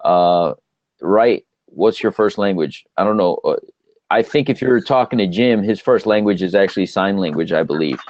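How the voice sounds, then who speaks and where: A man's voice: deep voice, normal speed, a little mellow, no echo. A man hosting a live online talk show, enthusiastic and encouraging, addressing his audience directly. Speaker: host. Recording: dry, dull lo-fi sound.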